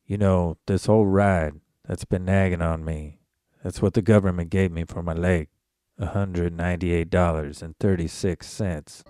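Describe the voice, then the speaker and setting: A man's voice: low-pitched, fairly even intonation, moderate pace, warm tone, no echo, studio quality, natural voice. A man playing a weary, resolute rancher father in a Western fan audio drama, plain-spoken and folksy, stubborn yet tender. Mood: bitter, reflective.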